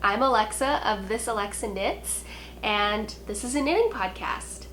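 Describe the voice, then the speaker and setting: A woman's voice: medium pitch, measured pace, fairly bright tone, soft background music, poor audio. A young woman hosting a knitting podcast, talking casually to camera with a congested, nasal voice from a sinus cold, chatty and apologetic with lots of ums.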